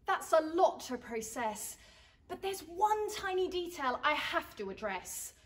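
A cheeky, easily startled young woman in a rhyming storybook, acted by a woman. Her high voice bounces up and down as she babbles in slangy rhymes. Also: big pitch swings, unhurried, a bit bright, exaggerated voice. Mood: flustered.

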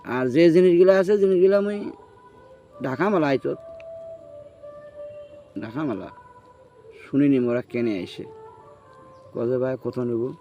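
A man's voice: mid-range voice, a little slow, pauses often, soft background music. A man with a rural northern Bengali dialect telling a story in casual chat, acting out the dialogue and checking that the listener follows. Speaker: storyteller recounting own experience. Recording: muffled, noisy field recording.

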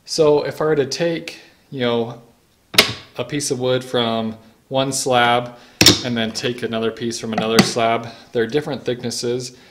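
Male, 30s, medium pitch, casual DIY host, conversational and explanatory. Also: steady tone, unhurried, very noisy. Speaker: woodworker and video host. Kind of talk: casual instructional vlog. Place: garage woodworking shop.

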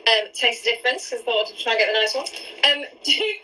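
A woman's voice: on the high side, quick pace, bright, forceful tone, rough recording. Chatty woman cooking on a live stream. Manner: chatty, asks lots of questions while cooking. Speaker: host. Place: home kitchen. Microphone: phone camera at arm's length.

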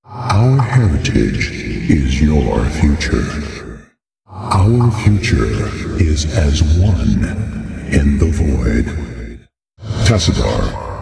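An actor playing an ancient, imprisoned godlike alien shepherd in a video game, gravelly, solemn and commanding, with grand, oracular delivery. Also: male voice, a bass voice, full character voice. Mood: urgent, commanding.

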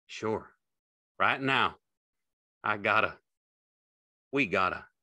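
A man's voice: on the low side, expressive, extremely slow, bright, forceful tone, dry recording, voice pushed up, exaggerated voice. A man playing a weary, protective, tender working man in an audiobook, speaking in a plain, folksy way. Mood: resolute, strained. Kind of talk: audiobook character acting.

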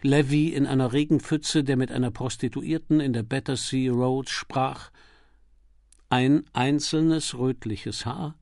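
A man reading a crime novel as an audiobook narrator, with a dramatic, deliberate delivery and emphatic pauses. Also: medium pitch, fairly even intonation, vocal fry, darkish tone, no echo, clean studio sound.

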